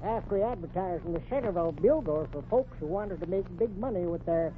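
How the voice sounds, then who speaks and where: A woman's voice: fairly low pitch, expressive, fast talker, close to an everyday voice. An actress playing a folksy small-town woman with a rural American accent and homespun manner, in 1940s radio comedy style. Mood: gossipy. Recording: tinny, noisy 1940s radio recording.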